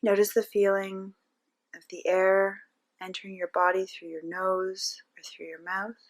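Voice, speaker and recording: A woman's voice: mid-range voice, flat delivery. Woman guiding a meditation. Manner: gentle step-by-step instructing with frequent pauses. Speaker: meditation teacher. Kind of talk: guided meditation narration.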